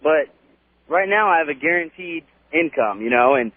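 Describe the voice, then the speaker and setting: A man's voice: a little high, animated, moderate pace, no room sound. A man in his early twenties with an American accent, chatting casually as a caller on a radio show. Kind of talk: casual phone-in chat. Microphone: telephone. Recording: tinny phone line on radio.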